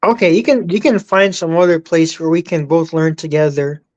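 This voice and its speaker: A woman chatting as a guest on a live stream, halting and repeating words as she starts her sentences. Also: fairly low pitch, darkish tone, lo-fi sound. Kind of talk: casual live chat.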